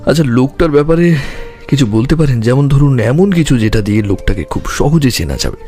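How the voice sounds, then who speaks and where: A man playing a sharp, intense detective in an audio story, speaking in a deliberate, dramatic way. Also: mid-range voice, animated, natural voice. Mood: probing, urgent. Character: sharp, intense male detective reasoning through a murder case. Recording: dramatic music bed.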